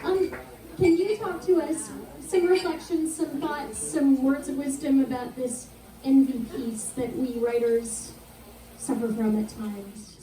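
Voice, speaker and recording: A woman's voice: high voice, fairly even intonation, a little slow, noisy background. A woman hosting a literary event, chatting casually on stage in a playful, enthusiastic way. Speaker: event host. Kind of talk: casual onstage chat. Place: whisky bar literary event. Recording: echoey room, distant from mic.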